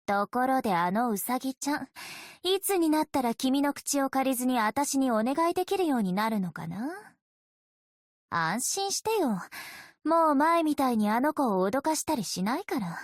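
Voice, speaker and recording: A woman's voice: sits high, big pitch swings, moderate pace, no room sound, studio quality. A woman voicing a coy, playful young female vampire in an anime-style game, with casually threatening undertones. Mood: playful, nonchalant. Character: teasing, sinister young female vampire, sweetly menacing. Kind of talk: game character voice lines in Japanese anime acting.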